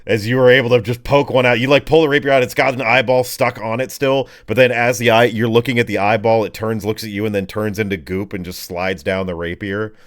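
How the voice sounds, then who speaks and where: A man's voice: on the low side, steady tone, a little fast, hardly any pauses. A man running a tabletop roleplaying game as game master, narrating casually with slangy descriptions and vocal sound effects.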